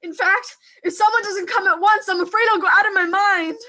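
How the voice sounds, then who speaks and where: An actress playing an anxious, demanding invalid wife in a radio thriller, with a fretful, breathlessly panicky delivery. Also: female voice, extremely high pitch, fairly even intonation, a little fast, sharp tone. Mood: agitated demanding. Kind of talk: 1940s radio thriller acting.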